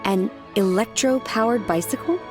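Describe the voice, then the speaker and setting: A woman's voice: medium pitch, normal speed, over music, lightly acted. A woman playing a reserved, sincere, devoted young female disciple in a video game.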